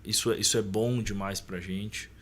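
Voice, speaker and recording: Podcast guest: Brazilian man, thirties, low-pitched, slangy and conversational, some room noise behind. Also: steady tone, moderate pace. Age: 30s. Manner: colloquial, slangy, often seeking agreement with short tags. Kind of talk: casual personal storytelling.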